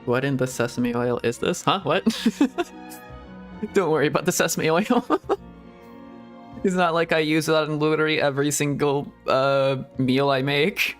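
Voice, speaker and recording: A man's voice: fairly high pitch, a little slow, soft background music. A man streaming a game, chatting casually in a rambling, giggly way that often trails off and restarts. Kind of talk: casual gameplay commentary.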